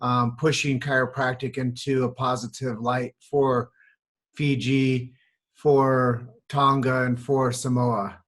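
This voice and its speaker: A man's voice: mid-range voice, level tone, slow, fairly bright tone. Upbeat man hosting a podcast. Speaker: podcast host. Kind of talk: casual interview.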